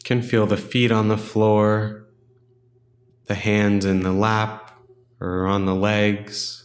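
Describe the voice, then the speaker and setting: Low-voiced man guiding meditation, roomy echo. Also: flat delivery. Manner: gentle, unhurried, instructional with long pauses. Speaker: meditation guide. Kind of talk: guided meditation.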